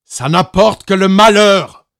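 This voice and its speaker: A man's voice: high voice, slow pace, a bit bright, no room sound, studio quality, voice pushed up, heavily acted. A man voicing a harsh, bitter father who growls at his son in a storybook reading. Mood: furious. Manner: growling, furious.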